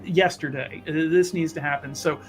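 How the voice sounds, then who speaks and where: A man's voice: a little high, fairly even intonation, normal speed, faint music behind. A man casually narrating a tabletop roleplaying game, speaking emphatically and repeating phrases to drive them home.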